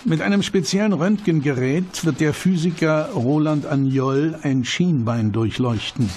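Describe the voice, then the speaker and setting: A man reading scripted documentary narration with measured, dramatic phrasing and rhetorical questions. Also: fairly high pitch, a little mellow, slight background noise. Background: background music.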